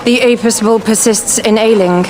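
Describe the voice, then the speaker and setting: A woman's voice: fairly high pitch, fairly even intonation, moderate pace, roomy sound, background noise, lightly acted. An actress playing an imperious, ruthless queen of ancient Egypt in a video game, regal and commanding, as if declaiming. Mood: cold, commanding. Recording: loud music and crowd outdoors.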